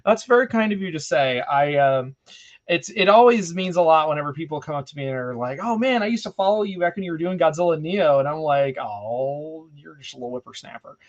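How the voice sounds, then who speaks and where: A man's voice: on the high side, expressive, a little fast, highs cut off. A man in his thirties chatting casually and jokily on an art livestream, with rambling asides and playful exclamations. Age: 30s. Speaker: artist hosting an art livestream. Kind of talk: casual livestream chat while drawing.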